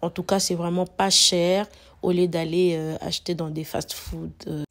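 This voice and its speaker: Flat-voiced woman, hoarse from a cold. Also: lowish voice, moderate pace. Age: adult mother. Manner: chatty, repeats herself, many fillers. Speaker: cooking channel host. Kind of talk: casual cooking tutorial narration.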